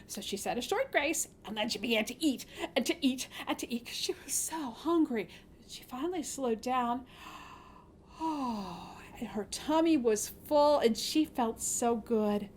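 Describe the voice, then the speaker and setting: A woman telling a fairy tale to children in an expressive, dramatic manner with informal asides. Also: a little high, unhurried, slight background noise. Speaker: children's storyteller at a library storytime.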